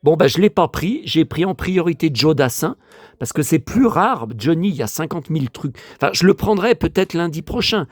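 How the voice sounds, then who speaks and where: Older French guy, hoarse, exasperated and chatty, big up-and-down pitch, dry room. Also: expressive, moderate pace, no room sound. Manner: grumbling, exasperated, talks to himself. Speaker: vlogger showing flea-market finds. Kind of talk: casual monologue to viewers.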